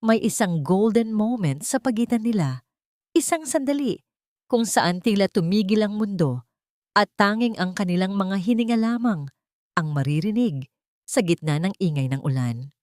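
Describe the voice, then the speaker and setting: A woman with a Filipino accent narrating a scripted romantic drama in an emotive, deliberate storytelling manner. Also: medium pitch, lively intonation, darkish tone, dry recording, clean studio sound.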